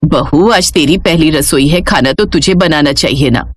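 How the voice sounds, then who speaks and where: A woman voicing an older, put-upon but patient mother-in-law in a storybook tale, with measured, drawling speech. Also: low-pitched, slightly rough, no room sound, clean studio sound, voice pushed down. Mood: coaxing. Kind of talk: Hindi moral storybook character voice.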